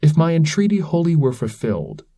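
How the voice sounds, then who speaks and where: Man doing a humble grateful poet guy in old verse, formal, mellow, dry close sound. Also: a little high, sing-song, fairly quick, no room sound, voice pushed up. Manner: earnest, formal, archaic. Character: reverent male poet pilgrim, grateful, earnest, resolute. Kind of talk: dramatic poetry reading.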